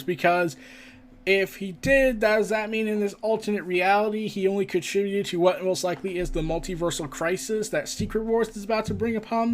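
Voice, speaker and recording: A man hosting a casual entertainment and gaming news commentary, stumbling as he reads and adding chatty asides. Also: sits high. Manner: stumbling when reading aloud, with chatty asides. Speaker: podcast host.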